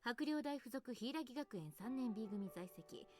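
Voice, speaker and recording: An actress voicing a sly, imperious female teacher and researcher in a Japanese visual novel game, with a brisk, probing manner. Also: a little high, expressive, a little mellow, close to an everyday voice. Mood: cool, commanding. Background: game music.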